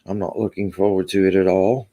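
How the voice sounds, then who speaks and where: A man's voice: low-pitched, little pitch movement, fairly quick, mellow, dull audio. A man from Kentucky with a Kentucky accent hosts a rambling, casual live stream and reads out chat comments, with lots of ums. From Kentucky, USA. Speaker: livestream host.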